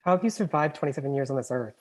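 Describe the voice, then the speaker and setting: A woman's voice: very deep voice, rapid-fire. A woman acting a blunt, sarcastic, exasperated millennial best friend in a rom-com script read, with dry delivery. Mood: miffed. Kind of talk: screenplay table read, rom-com dialogue.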